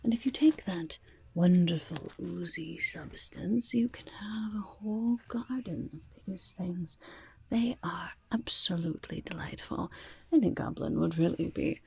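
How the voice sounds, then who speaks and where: A woman voicing a quirky, shifty goblin merchant in a whispered ASMR roleplay, breathy and crackly, with halting, drawn-out salesmanship and muttered asides. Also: lowish voice, a little mellow, heavily acted. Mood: gleeful sales pitch. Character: quirky shifty goblin merchant peddling grotesque wares, eager and defensive.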